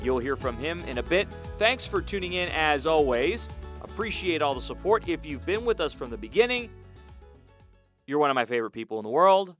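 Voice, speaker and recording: A man's voice: fairly high pitch, sing-song, a little fast, a bit bright, soft background music. A man hosting a sports podcast from a small audio booth, chatty and upbeat with rambling asides. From United States. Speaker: podcast host. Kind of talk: casual podcast intro and interview.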